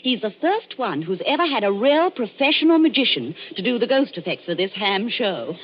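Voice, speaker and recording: A cynical young actress with dry wisecracks, played by a woman whose pitch swoops widely up and down. The old broadcast is dull and lo-fi, with faint hiss behind. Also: very animated, moderate pace, natural voice. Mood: wry. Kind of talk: 1940s radio acting.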